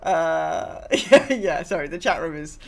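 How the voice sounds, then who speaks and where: A woman's voice: low voice, expressive, measured pace, creaky. Chatty, agreeable woman co-hosting a podcast. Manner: chatty, agreeable, repeats phrases for emphasis. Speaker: podcast co-host. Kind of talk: casual chat, reading out listener comments.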